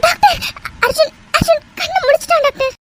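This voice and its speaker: A woman's voice: extremely high pitch, fairly quick. A woman playing a distraught, devoted young woman in an audio drama, crying and pleading over her injured beloved. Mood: tearful relief. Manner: tearful, pleading, repetitive begging. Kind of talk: melodramatic Tamil audio drama acting. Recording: noisy recording with clicks.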